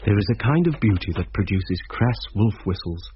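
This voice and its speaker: A literate schoolteacher played by an actor in a radio play. His medium-pitched voice is measured but widely inflected. The recording sounds dull and muffled. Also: lively intonation, a little hiss or hum, close to an everyday voice. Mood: reflective. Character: reflective male schoolteacher telling his story. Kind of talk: British radio drama narration and dialogue.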